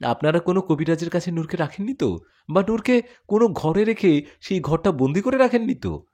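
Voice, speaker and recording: A man's voice: on the high side, animated, a little fast, darkish tone, pitched above the performer's own voice. A man doing an earnest lovesick jinn warrior. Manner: earnest, pleading. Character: devoted young male jinn warrior, lovesick.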